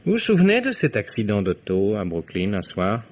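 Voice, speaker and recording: A man's voice: on the low side, wildly swooping pitch, moderate pace. An actor playing a young male investigator in an old French radio mystery, formal, methodical and pointedly accusing. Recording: dull, noisy vintage radio recording.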